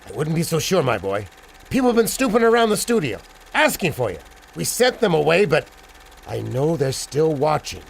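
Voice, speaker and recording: A man's voice: on the high side, sing-song, moderate pace, slight background noise. A man playing an anxious, fatherly older studio manager who frets and pleads in old-fashioned slang. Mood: uneasy. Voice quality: creaky. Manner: fretful, pleading, Yiddish-flavoured slang. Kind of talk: old-time adventure serial acting.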